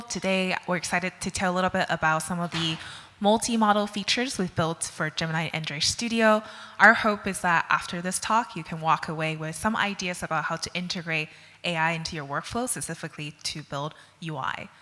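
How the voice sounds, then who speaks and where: A woman's voice: lowish voice, bright, forceful tone. A woman from Taiwan in her thirties, a product manager giving a friendly conference talk with frequent "uh" fillers. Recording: long hall reverb.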